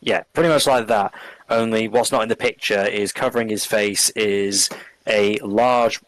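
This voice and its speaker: A man describing his character in a tabletop game in a calm, measured way. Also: on the low side, low-quality recording. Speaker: player.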